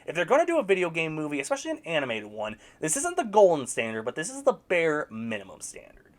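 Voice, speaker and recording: Brief: blunt, sarcastic movie reviewer, pitch swooping all over, casual swearing. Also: wildly swooping pitch, fast talker.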